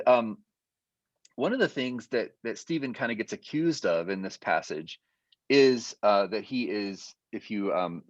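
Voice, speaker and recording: A man with a fairly low voice gives an adult education lecture over a video call. He explains at length and pauses on fillers as he goes. The audio is dry and a little muffled. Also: no echo. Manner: explanatory, hesitant with frequent fillers.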